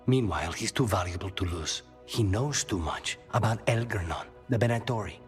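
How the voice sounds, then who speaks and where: An actor playing a brooding, dry-witted assassin haunted by a demon in a video game, speaking in measured, wry, clipped phrases. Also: male voice, fairly low pitch, animated, faint music behind, close to an everyday voice. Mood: grim.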